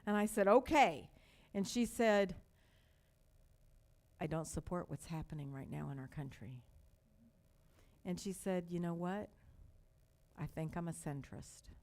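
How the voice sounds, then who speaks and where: An older woman pastor from Texas with a light Texas accent, preaching a sermon with slow, emphatic repetition and storytelling asides. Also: fairly low pitch, lively intonation, a little mellow.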